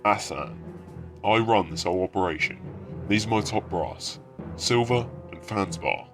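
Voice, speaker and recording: A man's voice: lowish voice, a little slow, music in the background, close to an everyday voice. A man playing a smug, self-assured half-cactus alien market boss in an audio drama. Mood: smug, threatening.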